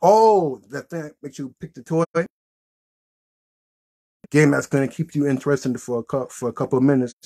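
A man's voice: medium pitch, moderate pace, pauses often, warm tone, slightly rough. A man in his thirties chatting casually and off the cuff as the host of a puppet show. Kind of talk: casual improvised chat with a puppet. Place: small indoor room with green backdrop.

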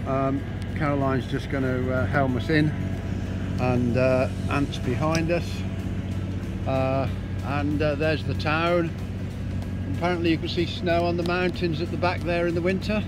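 A man casually narrating his boat's arrival at a marina, relaxed and full of ums and uhs. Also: on the high side, a little slow, loud music behind the voice. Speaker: sailing vlogger. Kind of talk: casual narration over footage.

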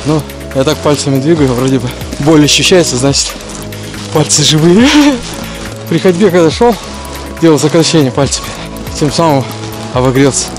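Man in his 40s, slightly high voice, chatty trail vlog, handheld camera outdoors, clear music bed underneath. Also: moderate pace. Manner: chatty, breathless while trudging through snow. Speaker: vlogger. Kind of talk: casual hiking vlog narration. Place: snowy pine forest outdoors in deep frost. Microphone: action camera held at arm's length.

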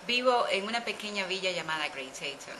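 A woman in her forties from Cuba with a Cuban Spanish accent, answering interview questions slowly with many pauses, recorded on a webcam in a small office. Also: medium pitch, lively intonation, bright, forceful tone, a little hiss or hum. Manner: hesitant, thinks aloud with fillers. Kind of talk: slow, careful conversational answers. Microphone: webcam at desk.

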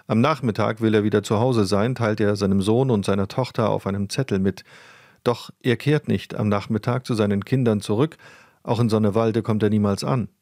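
A man's voice: fairly low pitch, fairly even intonation, brisk, darkish tone, no echo, clean studio sound. A man reading scripted documentary narration about a crime case. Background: music.

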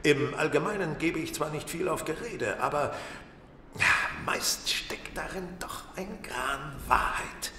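Keen detective, bright voice, echoing room. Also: male voice, on the high side. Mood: assured, explanatory. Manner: deliberate, precise, formal. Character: keen, self-assured male detective explaining a case. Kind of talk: German radio play acting. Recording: roomy, distant, echoing, faint noise.

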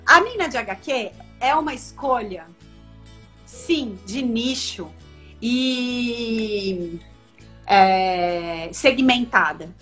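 Animated high-voiced woman on a video call. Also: very slow, soft background music. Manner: animated, emphatic, many fillers and restarts. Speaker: comics editor and guest. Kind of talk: casual video-call interview. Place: home room with bookshelves. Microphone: laptop webcam on video call. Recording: dull lo-fi video call audio.

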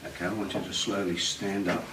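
Very deep-voiced man in echoey room. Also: level tone. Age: middle-aged. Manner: directive, short deliberate phrases. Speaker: hypnotist. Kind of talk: hypnotic suggestion and check-in questions. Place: meeting room with glass wall, indoors. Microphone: distant camera mic. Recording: echoey room, distant mic, clear background noise.

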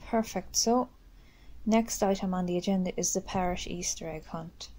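A woman with an Irish accent playing a brisk, matter-of-fact parish committee chair in an amateur radio drama. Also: lowish voice, dark tone, close to an everyday voice. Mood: businesslike.

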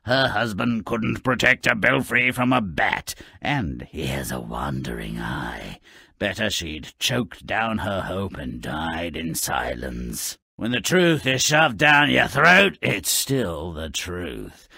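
An actor voicing a gleefully malicious little dwarf storyteller in a video game, raspy and gravelly, with a theatrical, sardonic manner. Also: male voice, deep voice, animated, slow pace, pushed and bright, no room sound, studio quality, full character voice. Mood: grim, biting. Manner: theatrical, sardonic, relishing nasty words. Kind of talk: video game character narration.

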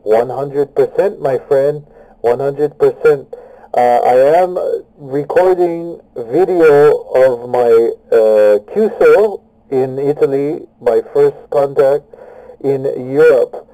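A man making a radio contact as an operator, speaking deliberately and repeating phrases for clarity. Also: medium pitch, mellow, poor audio. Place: beside his radio set.

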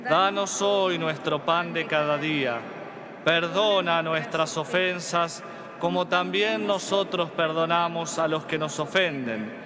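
A man in his thirties with an Argentine accent, a priest slowly reciting mass prayers at the altar. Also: fairly high pitch, a crowd in the background. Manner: slow solemn recitation. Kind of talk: liturgical prayer. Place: large church. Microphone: stand mic at altar. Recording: long church reverb.

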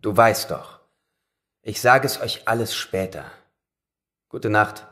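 A man's voice: lowish voice, takes it slowly, pushed and bright. An actor playing a studious, preoccupied young man absorbed in research in an audio drama.

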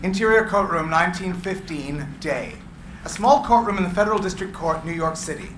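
A man's voice: high-pitched, fairly bright tone. A man narrating stage directions at a live screenplay reading. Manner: brisk, matter-of-fact. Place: gallery room with seated audience. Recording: echoey room, clear hiss.

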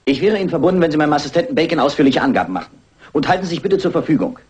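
A husky police inspector in a radio mystery. His pitch moves in a lively way as he questions with dry, sardonic politeness and stubborn persistence. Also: racing. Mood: dry, firm. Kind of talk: German radio crime drama acting.

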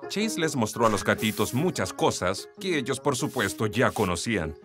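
A woman's voice: very deep voice, big pitch swings, normal speed, music in the background. A woman with a Latin American Spanish accent, reading scripted storytelling narration for a children's cartoon.

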